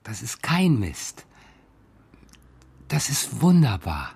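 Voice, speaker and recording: A man's voice: mid-range voice, huge pitch swings, slow pace, gravelly, a little mellow, slightly rough, heavily acted. An actor playing an evasive, dreamy, restless father in a children's radio drama, hesitant and stammering as he pleads his excuses. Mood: conceding.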